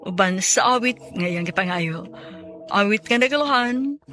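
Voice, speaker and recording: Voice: mid-range voice, expressive, a little slow, music in the background. A radio drama announcer with a Cebuano Filipino accent, delivering a scripted programme outro.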